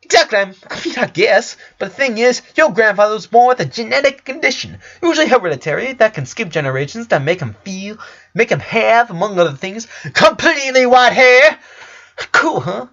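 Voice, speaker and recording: A man's voice: high-pitched, expressive, fairly quick, fairly bright tone, highs cut off, pitched above the performer's own voice. A man voicing a blunt, glib doctor in a story reading, lecturing in a rambling, sarcastic way. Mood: animated, mocking. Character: glib, blunt, morbidly curious male doctor.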